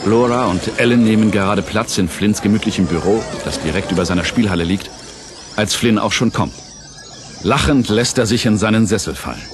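A male narrator reads a scripted audio drama. His voice sits on the low side, and music plays clearly underneath. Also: a little fast.

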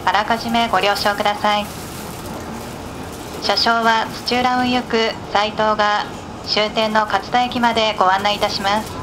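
A woman working as a train conductor, making a formal announcement to passengers over the onboard PA. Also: on the high side. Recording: noisy onboard PA recording, train running. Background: train running noise.

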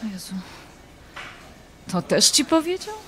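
A woman plays an indignant, desperate married woman in an audio drama. Her fairly high voice rises and falls sharply as she defends herself against an ex-lover in exasperation. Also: very animated, measured pace, a bit bright, heavily acted. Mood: distressed, angry. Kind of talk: audio drama acting, dialogue.

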